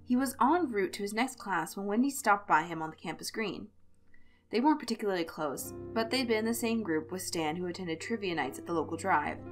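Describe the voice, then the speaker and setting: A woman reads a scripted story as an expressive narrator. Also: mid-range voice, brisk. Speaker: fan fiction narrator. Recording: music behind the voice. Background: instrumental music.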